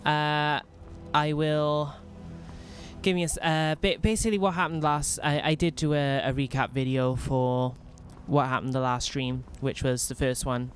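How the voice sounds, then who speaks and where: A young man from Wales with a softened Welsh accent, streaming a role-playing game in rambling, self-mocking chat full of restarts. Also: on the high side, fairly even intonation, normal speed. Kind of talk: casual in-character role-play commentary.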